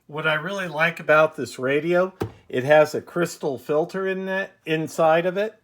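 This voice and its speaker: A man giving a casual, unhurried, rambling demonstration as a hobbyist presenting a video. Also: fairly high pitch, fairly bright tone, slight background noise.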